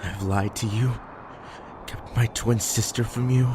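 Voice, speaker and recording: A man playing a guilt-ridden, self-loathing young survivor in an emotional audio drama, with a halting, bitter delivery. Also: fairly low pitch, steady tone, background noise, natural voice. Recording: prominent music behind the voice.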